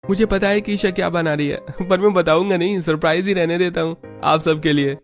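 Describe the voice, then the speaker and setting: A man's voice: high-pitched, fairly even intonation, fairly quick. A man playing a playful, proud young husband in an acted family story, with music behind. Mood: teasing.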